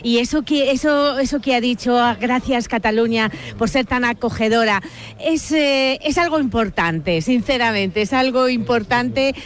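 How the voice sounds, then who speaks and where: A woman working as a radio field reporter, speaking live on location, often pausing and repeating phrases. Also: on the high side. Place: outdoors among a crowd.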